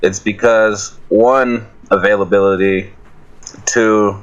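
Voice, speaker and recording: Deep-voiced man, dull lo-fi sound. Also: steady tone, slow. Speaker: musician panelist answering fan questions. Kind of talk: casual group chat. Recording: dull lo-fi voice chat audio.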